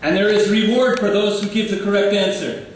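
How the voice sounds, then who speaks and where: A man preaching a sermon in short, playful phrases, pausing for an interpreter. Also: high voice, fairly even intonation, slight background noise. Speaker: guest preacher. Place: church sanctuary. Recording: echoey church hall from far off.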